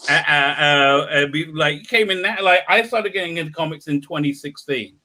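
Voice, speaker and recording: A man interviewing a guest in casual chat, halting with many restarts and laughing between points. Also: fairly high pitch, sharp tone.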